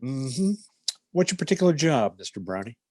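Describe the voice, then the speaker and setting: A man playing a deadpan, clipped police detective narrating his case in a 1950s radio parody style. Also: medium pitch, moderate pace, husky, dry recording. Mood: dry questioning.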